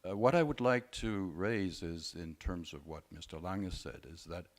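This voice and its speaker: A man speaking as a panelist at a conference discussion, measured and often hesitating. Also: low voice. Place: conference room.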